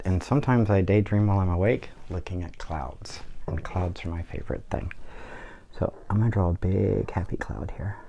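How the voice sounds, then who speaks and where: A man's voice: on the low side, dark tone. A man giving a casual, easygoing drawing demonstration for children as a guest instructor.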